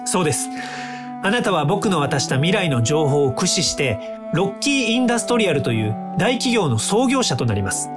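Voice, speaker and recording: An actor in an audio drama playing an earnest, polite young time-traveling inventor who explains things courteously. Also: male voice, fairly high pitch, very animated, brisk, music in the background. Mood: calm, explanatory.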